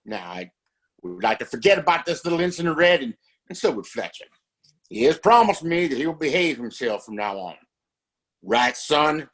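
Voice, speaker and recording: A man playing a domineering, self-righteous father who runs a Western town, in an audio drama, with formal, imperious speech and a husky voice. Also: a little high, very animated, moderate pace, a bit bright. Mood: smug, self-satisfied.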